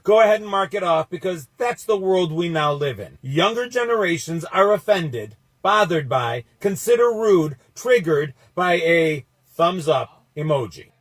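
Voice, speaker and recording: A man with a high-pitched voice delivers sardonic commentary. His manner is mocking as he addresses his audience directly. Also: expressive, a little slow.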